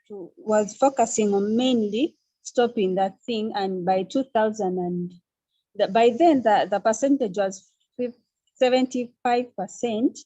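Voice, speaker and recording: A young woman from Uganda with a Ugandan accent, a student speaking on a panel, hesitant with many pauses and restarts. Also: medium pitch, mellow, no room sound, rough recording. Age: young adult. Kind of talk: online panel discussion.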